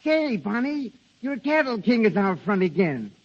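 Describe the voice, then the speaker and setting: An actor playing a gabby, wry burlesque player in a 1930s radio drama, slangy and joking. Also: male voice, sits high, sing-song, measured pace, full character voice. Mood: wry, chatty. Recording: muffled, reverberant old radio broadcast.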